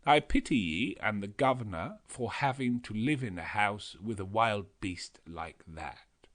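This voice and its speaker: A man voicing a rough, good-natured working-class carter, speaking slowly and broadly. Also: on the low side, lively intonation, fairly bright tone. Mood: pitying. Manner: slow, broad, coarse speech.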